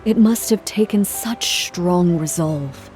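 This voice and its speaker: A woman playing a courteous, reflective, dignified travelling performer in a video game, with measured formal delivery. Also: on the low side, a little mellow. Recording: music under the voice.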